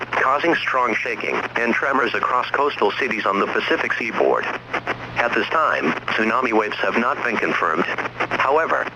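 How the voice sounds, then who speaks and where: A man's voice: medium pitch, natural voice. A man voicing an impersonal emergency alert announcer, reading an official bulletin formally and methodically. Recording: dull, noisy weather radio broadcast.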